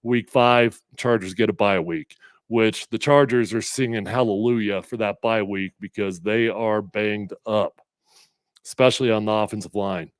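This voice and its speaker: A middle-aged man hosting casual sports talk, a little congested, energetic and emphatic as he reads comments and makes predictions. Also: lowish voice, moderate pace, no room sound. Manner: energetic, conversational, emphatic with fillers. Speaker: sports radio host previewing a game.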